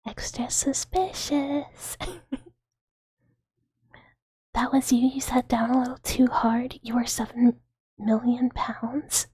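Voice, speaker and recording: A woman speaks in a very breathy, airy whisper, pitched on the high side. She chats like an ASMR streamer, rambling playfully to her viewers. The sound is close and dry. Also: a little mellow, no room sound. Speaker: livestreamer hosting a chat stream.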